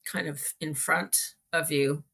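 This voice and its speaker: Slow, creaky middle-aged Canadian woman. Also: low voice, steady tone, fairly bright tone. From small-town Manitoba, Canada. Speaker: interview guest. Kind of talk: casual personal storytelling.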